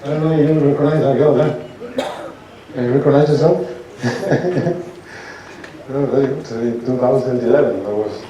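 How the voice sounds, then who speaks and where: A man lectures as a doctor, often restarting sentences and checking in with the audience. His voice is mellow and dark. He is heard from a distance in an echoey hall. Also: medium pitch, fairly even intonation, dull audio. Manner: halting, restarts sentences, asks audience rhetorical questions.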